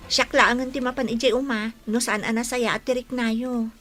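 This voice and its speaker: An actress playing a dutiful, caring young daughter in an Ilocano radio drama, earnest and insistent. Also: female voice, fairly high pitch, steady tone, moderate pace, a bit bright, soft background music, close to an everyday voice.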